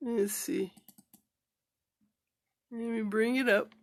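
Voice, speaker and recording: Woman doing a homey poetry reading, pretty slow, voice a bit on the high side. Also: steady tone, dry recording. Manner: halting, repeats words and syllables when speaking off the cuff. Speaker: amateur poetry reader and singer. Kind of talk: poetry recital with informal spoken introductions.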